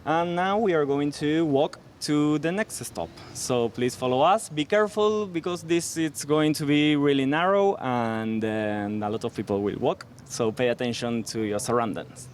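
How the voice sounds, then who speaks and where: A man's voice: fairly high pitch, normal speed, hardly any pauses, slight background noise. A man guiding a walking tour outdoors, explaining in an earnest way. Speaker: tour guide. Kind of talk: guided walking tour talk. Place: outdoors on a city street.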